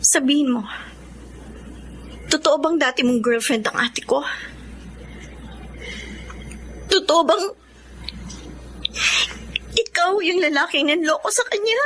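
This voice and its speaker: An actress with a Filipino accent playing an emotional, pleading, loyal younger sister in a radio drama. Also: female voice, high-pitched, measured pace, long pauses, a bit bright, a little hiss or hum. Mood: hurt, accusing. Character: Josie, hurt, loyal younger sister, university student.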